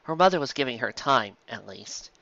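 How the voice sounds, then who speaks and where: A man narrates a story from a script with plenty of movement in his pitch. His voice sits in a middle register, and the recording is dull and lo-fi. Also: lively intonation, moderate pace, gravelly, pushed and bright, no echo. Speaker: narrator, reader.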